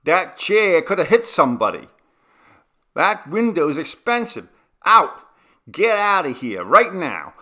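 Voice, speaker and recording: A man voicing an angry, coarse male rent collector in a storybook reading, barking abuse and demands in an indignant, shouting manner. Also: sits high, very animated, slow pace, voice pushed up, full character voice. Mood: furious, shouting.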